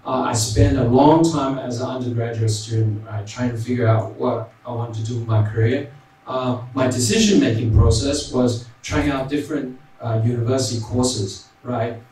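A man's voice: mid-range voice, darkish tone. Australian man in a very echoey hall. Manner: many ums and uhs, adds "right?". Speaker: panelist. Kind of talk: reading prepared answers. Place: large hall. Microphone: handheld mic. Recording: very echoey hall, distant mic, faint noise.

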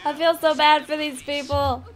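A young woman with a high voice plays a game and exclaims and giggles often. Faint music plays underneath her. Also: little pitch movement, normal speed. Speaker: gaming co-host. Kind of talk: casual gameplay chat.

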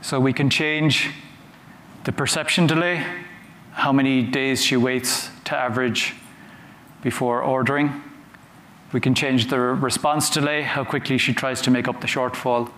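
A man giving a conference talk from a stage, conversational and halting, often repeating words as he goes. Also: mid-range voice, fairly even intonation, a bit bright. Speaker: conference speaker. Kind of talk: conference talk with audience questions. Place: large conference hall on a stage. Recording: roomy hall sound with faint noise.

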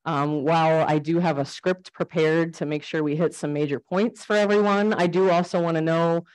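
A woman giving an informal talk on native plants in a library, loud and friendly, asking the audience questions. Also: sits low, fairly even intonation, normal speed, low-quality recording. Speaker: guest speaker on native plants. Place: library program room.

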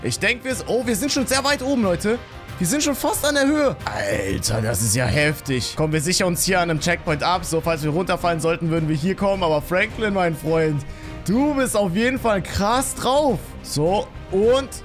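A man giving excited, exclamatory let's play commentary, talking to viewers and his game character, close on a studio microphone in a small home room. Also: high voice, normal speed, faint music behind. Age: 20s. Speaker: gaming YouTuber. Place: small home streaming room with acoustic foam, indoors. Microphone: studio microphone on boom arm, close.

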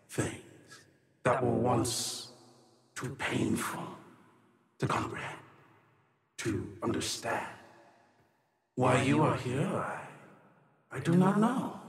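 A man voicing an aged, troubled woman mystic in a fantasy game, halting and wistful, with an echoing reverb. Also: mid-range voice. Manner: slow, halting, brooding. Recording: roomy voice with long reverb.

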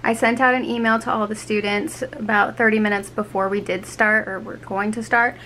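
A woman's voice: medium pitch, moderate pace. A young woman in her twenties, a teacher chatting to camera in a small bedroom, filling her talk with "like" and "um", her voice a little scratchy and dry. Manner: chatty, lots of fillers, animated hands. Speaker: teacher vlogger. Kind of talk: casual chat to camera. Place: small bedroom with laptop, indoors. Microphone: handheld camera at arm's length.

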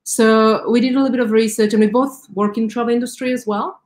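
A woman's voice: on the high side, steady tone, fast, a little mellow. A woman from Slovakia with a Slovak accent, a friendly guest chatting on a video call. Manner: friendly, explaining with many "like" fillers. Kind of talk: casual interview chat. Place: home, video call on webcam.

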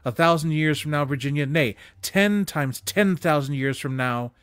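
A man reading classic prose aloud expressively, with measured, dramatic emphasis, close to a desk microphone. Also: on the high side, a bit bright, clean tone, no room sound. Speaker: reader of holiday stories aloud. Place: small indoor room with bookshelves. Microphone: desk condenser microphone, close. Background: music.

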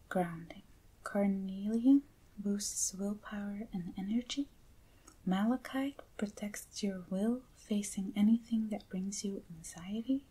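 Slow, whispering woman, soft and breathy. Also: medium pitch, poor audio. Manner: gentle, hushed, unhurried. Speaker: ASMR video host. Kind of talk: soft-spoken whispered ASMR unboxing.

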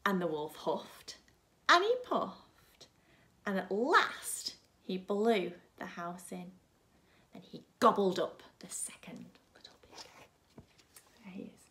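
Bright-voiced woman reading a storybook. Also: medium pitch, very animated, slow pace, pauses often. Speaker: primary school teacher. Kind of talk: expressive storybook reading for young children. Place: small furnished room at home, indoors.

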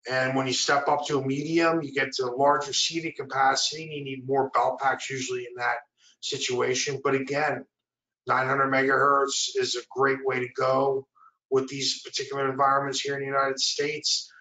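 A man's voice: mid-range voice, level tone, normal speed, sharp tone. A middle-aged man giving an informal product presentation on a webinar from a small room. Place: small indoor room, video call. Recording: roomy echo, distant microphone.

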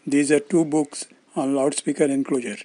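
Old indian guy explaining stuff from a script, soft mellow tone, slightly high voice, kinda formal. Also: steady tone, moderate pace, no echo. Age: older, around 70s. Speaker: presenter of loudspeaker-making videos.

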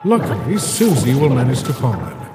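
A man voicing an eerie, disdainful phantom scientist in an animated comic dub, speaking in a deliberate, clinical way. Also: mid-range voice, natural voice. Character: eerie, dry-witted male phantom scientist companion. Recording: echoing reverb effect, music behind.

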